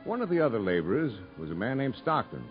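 A man's voice: mid-range voice, sing-song, fast talker, natural voice. A man acts a reflective, kindly narrator from 1950s radio drama. His mid-range voice rises and falls expressively as he tells a family tale, with music behind and a muffled vintage sound. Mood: storytelling. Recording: dull 1950s radio broadcast recording.

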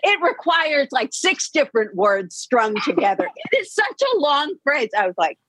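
A middle-aged woman, an author and podcast co-host, talking casually and telling stories in a lively way. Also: high-pitched, normal speed, dry recording, low-quality recording. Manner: lively storyteller, laughs easily.

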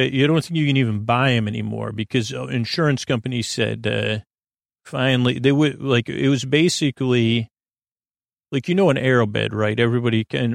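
A middle-aged man speaks in a gravelly, creaking voice of ordinary male pitch, recorded very dry. He delivers a meandering bedtime monologue as a podcast host. His sentences stall, restart and loop back on themselves. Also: no room sound. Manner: halting, circling, self-interrupting delivery with false starts.

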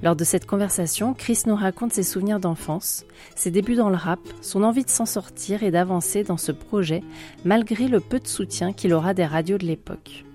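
A woman's voice: mid-range voice, normal speed, dark tone. A woman from France reading a scripted podcast introduction as the host. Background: plucked guitar music.

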